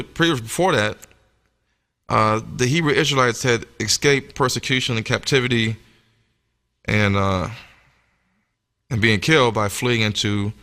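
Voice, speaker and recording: A man's voice: fairly low pitch, sharp tone. A man giving a sermon-like religious lecture, speaking in an emphatic, teacherly way. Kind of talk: sermon-like lecture with scripture reading. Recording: echoey hall with long reverb.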